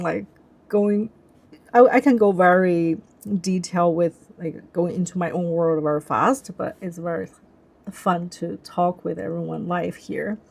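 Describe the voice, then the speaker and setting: Soft-spoken woman, Chinese native speaker. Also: mid-range voice. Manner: thoughtful, searching for words, frequent restarts. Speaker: livestream host. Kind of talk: casual live-stream chat.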